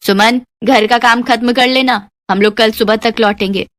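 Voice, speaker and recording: A woman's voice: on the high side, steady tone, moderate pace, a bit bright, no echo, close to an everyday voice. A woman voicing a haughty, condescending rich aunt in a moral story, bossy and dismissive. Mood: scornful. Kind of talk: children's moral story voice acting.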